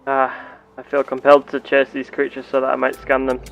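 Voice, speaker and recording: Formal male gamer roleplaying a starship captain, slightly creaky. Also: medium pitch, monotone, lo-fi sound. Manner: formal, deliberate wording, as a starship captain keeping a log. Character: earnest, curious starship captain exploring planets. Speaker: player of a roleplay game series. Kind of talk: in-character roleplay narration of gameplay.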